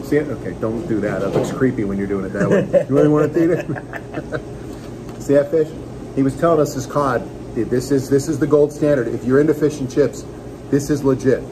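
Brief: man in his forties, upbeat radio-host energy, eating-and-talking vlog in a restaurant, steady room hum behind. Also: mid-range voice, normal speed. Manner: enthusiastic, joking, talks while eating. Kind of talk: casual restaurant review vlog. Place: small restaurant dining room, indoors. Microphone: phone camera on table.

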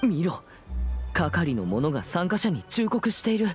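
A man's voice: on the high side, very animated, unhurried. A young man voicing a serious, earnest teenage boy exorcist in a Japanese anime game, with a formal, reserved and polite manner. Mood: alert, serious. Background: background music.

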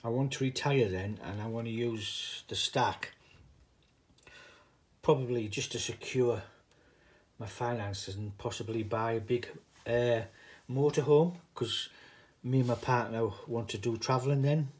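A man's voice: medium pitch, fairly even intonation, measured pace. A middle-aged man with a northeast English, Teesside accent, talking casually and rambling a little as he hosts an unscripted hobby vlog. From northeast England (Middlesbrough). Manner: rambling, digressive. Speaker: video host, hobbyist coin stacker.

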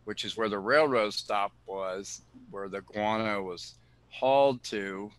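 A man, director of a cave preserve, answering questions on a video call in an explanatory way, often pausing with fillers and restarting sentences. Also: fairly low pitch, fairly bright tone, dry recording. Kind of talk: answering questions in an online talk.

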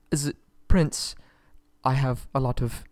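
Captive young prince, played by a man for ASMR roleplay: meek, courteous, pleading, slow stammered speech, dry close sound. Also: medium pitch, warm tone, dry recording, natural voice. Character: nervous, submissive, polite young prince held captive. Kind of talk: ASMR roleplay audio drama.

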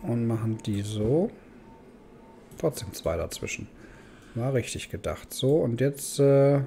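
A man's voice: mid-range voice, mellow, a little hiss or hum. A man giving casual let's play commentary, thinking aloud and muttering questions to himself. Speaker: gaming host.

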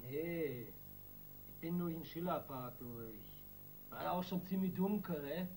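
Radio crime play: defiant small-time gang boss, slangy Berliner, sullen, on the higher side. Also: male voice, unhurried, dark tone, slight background noise, rough recording. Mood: defensive. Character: defiant male street gang boss under police questioning.

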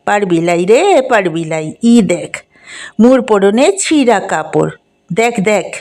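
A woman's voice: medium pitch, big pitch swings, slow pace. Woman doing a fierce grief-crazed village mother. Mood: anguished. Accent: rural western Bengal dialect. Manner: shouting, desperate.

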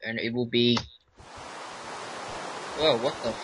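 A teenage boy on a call, teasing and excitable in casual banter. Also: medium pitch, slow pace, background noise. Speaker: friend on a call.

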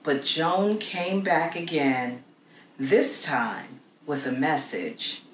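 A middle-aged woman reading a story aloud for children in an expressive, deliberate way with dramatic pauses. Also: low voice. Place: small indoor room against a plain wall. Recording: roomy echo with faint background noise.

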